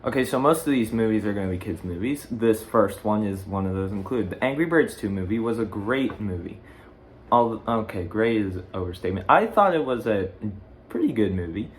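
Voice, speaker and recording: A man's voice: lowish voice, animated, a little fast, a little hiss or hum. A fifteen-year-old boy casually hosting a video in a rambling way, often correcting himself. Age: teens, fifteen. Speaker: video blogger, host. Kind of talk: casual chat ranking video game movies.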